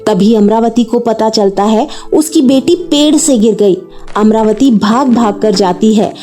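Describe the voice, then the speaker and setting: A woman's voice: fairly high pitch, unhurried, mellow, soft background music. A woman narrating a scripted children's cartoon story.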